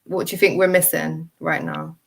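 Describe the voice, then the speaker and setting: A woman co-hosting a casual football panel, chatty and quick to agree with the others. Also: deep voice, steady tone, vocal fry, breathy edge. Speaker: panel co-host.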